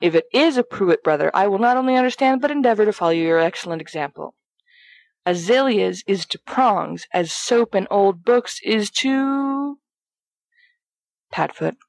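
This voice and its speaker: A woman's voice: medium pitch, animated, moderate pace, vocal fry, no room sound. Female reader as a cheeky, blunt young man in an audio drama, mock-formal, with a dry close sound. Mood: teasing. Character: brash, teasing young male letter-writer. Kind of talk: dramatic reading of letters.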